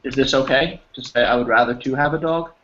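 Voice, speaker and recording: A man teaching an English class over a video call, patient and encouraging, explaining slowly with examples. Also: mid-range voice, fairly bright tone. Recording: slightly dull audio with faint noise.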